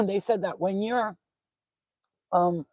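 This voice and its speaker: A woman from Albania with a husky, rough voice, talking informally as an online spiritual card reader, blunt and profane, repeating herself for emphasis. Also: medium pitch, slow, a little mellow, no room sound. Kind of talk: informal, unscripted talk to followers.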